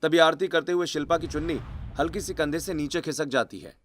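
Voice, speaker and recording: A man's voice: a little high, brisk, fairly bright tone. A man reading out scripted story narration.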